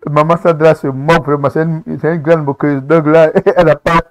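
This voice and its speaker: A man's voice: fairly high pitch, little pitch movement, brisk, faint music behind. A man hosting a reaction video, commenting on gospel singers with enthusiastic, preacherly remarks. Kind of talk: casual commentary on a talent show.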